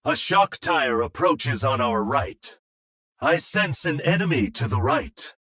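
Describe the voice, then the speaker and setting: Give the stage to a solemn monk warrior of a video game. He is mystical and formal, taking his time over every word. The recording is very dry and close, and the voice is slightly husky. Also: a little high, big pitch swings, pushed and bright, no room sound, heavily acted. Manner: solemn, formal, measured delivery. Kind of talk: video game character voice lines.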